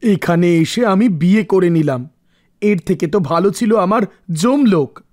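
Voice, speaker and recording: An actor voicing a wistful, brooding god of death in a cartoon story, musing in a deliberate manner. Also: medium pitch, expressive, darkish tone, lightly acted. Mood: troubled. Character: Yamraj, male god of death, wistful, brooding, advising father. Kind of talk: Bengali animated storybook dialogue.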